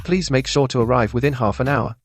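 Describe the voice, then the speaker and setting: A man's voice: medium pitch, brisk, no echo, studio quality, natural voice. A man acting as an anxious, earnest lawyer who pleads urgently in a radio drama.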